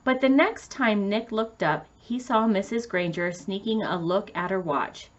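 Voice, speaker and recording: A woman's voice: mid-range voice, a little slow, highs cut off. A woman narrating a children's story in a scripted read-aloud style.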